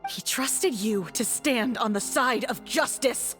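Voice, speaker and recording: A woman's voice: a little high, slow pace, fairly bright tone, faint music behind. A woman voicing a bitter, grieving young avenger in a video game, intense and accusing. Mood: accusing, anguished. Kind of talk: dramatic video game voice acting.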